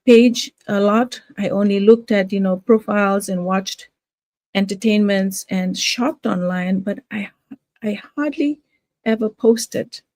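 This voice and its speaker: Older Fiji-raised woman, casual promoter, halting delivery, warm and dry. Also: medium pitch, no echo. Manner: hesitant, many fillers and restarts. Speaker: home business promoter. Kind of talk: casual talk to camera.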